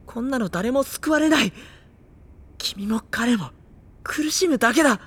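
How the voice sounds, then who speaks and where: A man playing a sensitive, anxious high-school boy who narrates and speaks in a dramatic, introspective way. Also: animated, measured pace, fairly bright tone, breathy edge, heavily acted. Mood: pained, earnest. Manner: earnest, introspective. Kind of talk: dramatic first-person narration and dialogue. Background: music.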